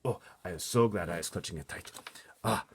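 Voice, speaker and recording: A man voicing a huge, excitable giant in a storybook, kindly but easily agitated, with muddled folksy grammar and theatrical exclamations. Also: low voice, brisk, a little hiss or hum, natural voice. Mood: fierce, exasperated.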